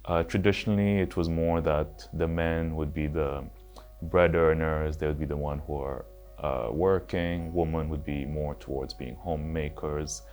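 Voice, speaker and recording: A man in his late twenties giving thoughtful, measured interview answers with some fillers. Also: very deep voice, fairly even intonation, a little mellow, soft background music. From Switzerland. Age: late 20s. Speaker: interview guest.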